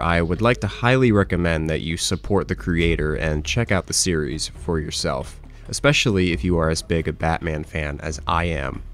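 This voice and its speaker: Guy narrating a horror video essay, real low voice, scripted, bit of music behind. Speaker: host, horror series reviewer.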